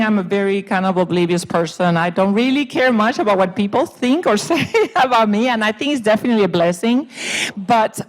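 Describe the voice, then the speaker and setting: A woman's voice: mid-range voice, rough recording. A middle-aged woman from Puerto Rico with a Spanish accent, a panelist telling stories earnestly with some hesitations. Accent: Puerto Rican Spanish. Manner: earnest, storytelling, with frequent hesitations. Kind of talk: panel discussion.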